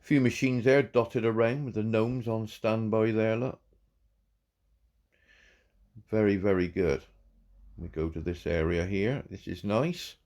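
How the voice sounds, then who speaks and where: A man, croaky from a cold, giving halting, warmly praising commentary on a farm game. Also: on the low side. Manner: halting, repeats words, warm praise. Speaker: farm game channel host. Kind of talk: casual gameplay commentary.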